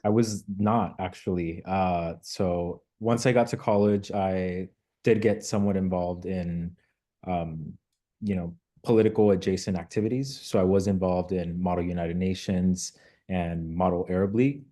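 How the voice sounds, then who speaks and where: Young guy from mexico, low deep voice, mellow, thoughtful with lots of fillers. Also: steady tone. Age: young adult. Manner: measured, reflective, with filler words. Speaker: guest, local elected official. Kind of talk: casual interview answers.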